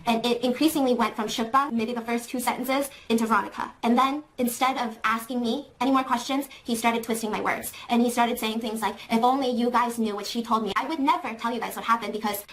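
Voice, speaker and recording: A woman with a high-pitched, loud voice telling an emotional storytime in a clip. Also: steady tone, quick pace, few pauses, poor audio. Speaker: youtuber in a played clip. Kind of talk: storytime monologue.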